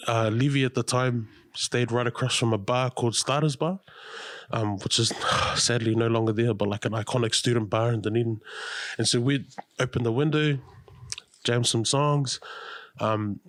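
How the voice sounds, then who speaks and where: A man's voice: medium pitch, fairly even intonation, normal speed, a bit bright, no echo. Laid-back New man, dry sound. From New Zealand. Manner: laid-back, slangy, chatty with fillers. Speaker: podcast guest, radio host. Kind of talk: casual chat.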